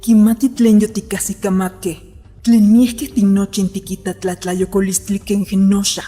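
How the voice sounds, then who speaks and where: A woman playing a grave, sorrowful survivor in a dramatic animated series fan dub. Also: medium pitch, close to an everyday voice. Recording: long reverb, music underneath.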